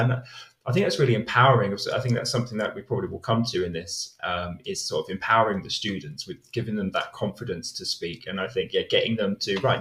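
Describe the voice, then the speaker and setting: A man's voice: on the low side, a bit bright. Man, english teacher guest, chatty podcast talk, lots of fillers, roomy echo. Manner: chatty, reflective, many fillers and restarts. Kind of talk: podcast interview, conversational. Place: lives in Spain.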